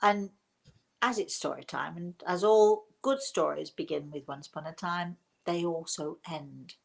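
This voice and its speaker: Older woman, halting live-stream host. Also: lowish voice, gravelly. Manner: halting, often repeats words and restarts. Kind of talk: casual live-stream talk answering viewer comments.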